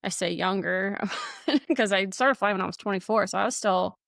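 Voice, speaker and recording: A woman's voice: mid-range voice, normal speed, gravelly, fairly bright tone, dry recording, clean studio sound. A young woman, a flight nurse, talking candidly as a podcast guest, laughing often and using many fillers. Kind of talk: casual interview talk.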